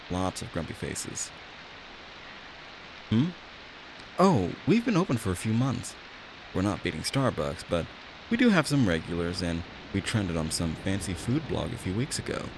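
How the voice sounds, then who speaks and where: A man with a low voice plays a friendly barista in an ASMR roleplay. His delivery is chatty and reassuring, with lively swings in pitch. Rain and hiss sit clearly behind him. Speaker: voice actor in an audio roleplay.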